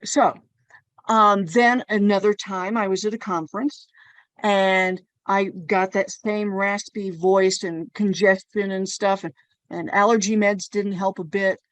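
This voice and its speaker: A woman's voice: mid-range voice, fairly even intonation, unhurried, dry recording. Chatty woman host telling personal stories. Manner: chatty storyteller, personal anecdotes. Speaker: podcast host. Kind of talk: casual conversation.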